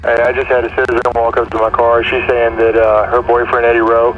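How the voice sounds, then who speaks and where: A man's voice: mid-range voice, monotone, fairly quick, phone-quality sound. A man talking procedurally as a police officer over a two-way radio, heard through a very noisy scanner.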